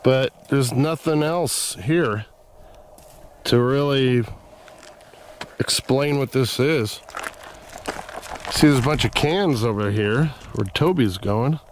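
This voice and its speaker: A man of average pitch talks his way around an old desert site, musing and pausing as he works things out. He is recorded outdoors on a camera mic with a faint hiss of open air behind him. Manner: musing, thinking aloud with pauses. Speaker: vlogger exploring a desert site. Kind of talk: casual narration while walking.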